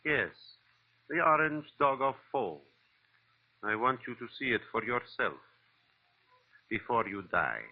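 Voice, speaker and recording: A man's voice: medium pitch, big pitch swings, takes it slowly, pauses often, full character voice. An actor playing a suave, menacing villain in a 1940s radio drama, formal and precise in his speech. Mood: silky menace. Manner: formal, precise, silky threats. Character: suave, menacing male curio-dealer villain, coldly polite. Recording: tinny, narrow old radio recording.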